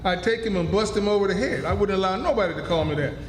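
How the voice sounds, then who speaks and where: A man's voice: high voice, quick pace, a little hiss or hum, natural voice. A man playing a good-hearted, stubborn runaway slave in a radio play, talking in homespun rural dialect. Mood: stubborn, indignant. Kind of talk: stage radio drama acting. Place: live stage in a hall. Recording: echoey hall, music behind.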